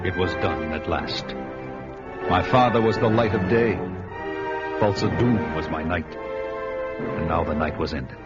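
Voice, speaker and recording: A man's voice: deep voice, unhurried, long pauses. An actor playing a grim, battle-hardened barbarian hero who narrates his own story in the first person. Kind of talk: radio drama narration. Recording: muffled audio under loud music.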